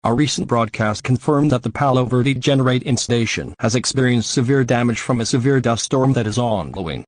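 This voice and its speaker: A man's voice: medium pitch, fairly quick, no echo. A man reading breaking news as an anchor, in formal newsreader delivery.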